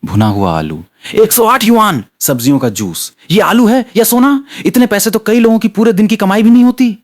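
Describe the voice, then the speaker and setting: A man's voice: sits high, big pitch swings, moderate pace, pitched above the performer's own voice. A man voicing incredulous, indignant live-chat commenters in an audio story, exclamatory and sarcastic. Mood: shocked.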